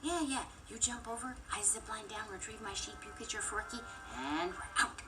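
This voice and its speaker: A woman playing a bold, confident shepherdess toy in an animated story, brisk and commanding. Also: mid-range voice, very noisy, lightly acted. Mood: brisk planning. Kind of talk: animated film read-along dialogue.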